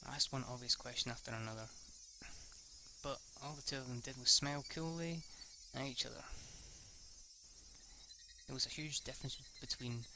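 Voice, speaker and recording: A man's voice: medium pitch, steady tone, sharp tone, a little hiss or hum, rough recording. A young man reading a visual novel aloud in a casual let's-read, stumbling and restarting lines as he goes. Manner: reads haltingly, restarts lines and swears at slips.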